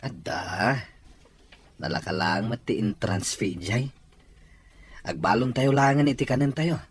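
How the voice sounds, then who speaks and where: A man's voice: mid-range voice, animated, unhurried, slightly rough. A man playing a hardworking, exasperated husband and father in an audio drama, sounding weary and defensive. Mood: casual. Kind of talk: amateur audio drama dialogue.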